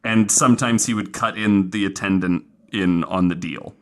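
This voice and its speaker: A man hosting a podcast, telling a true story casually in an informal, halting way with some self-corrections. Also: deep voice. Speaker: podcast host. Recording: faint background noise.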